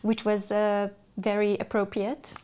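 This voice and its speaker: A Hungarian woman speaking English as a podcast guest, telling stories thoughtfully with many pauses and restarts. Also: medium pitch, level tone, background noise. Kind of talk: casual interview chat.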